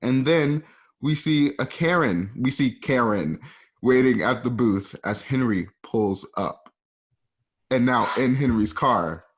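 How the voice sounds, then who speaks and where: A man's voice: medium pitch, animated, slow pace. A man narrating an amateur radio drama, reading out the scene directions as the narrator. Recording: dull lo-fi home recording.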